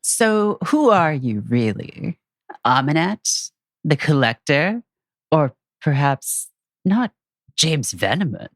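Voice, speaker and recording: A woman's voice: sits low, big pitch swings, takes it slowly, no echo, exaggerated voice. Taunting villainess in dry close sound, woman acting. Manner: taunting, theatrical. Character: mocking, sly female monster villain held captive. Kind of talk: audio drama acting.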